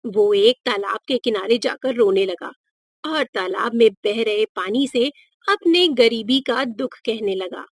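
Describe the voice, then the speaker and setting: A woman's voice: fairly high pitch, measured pace, no echo, clean studio sound. A woman narrating a scripted moral story for children.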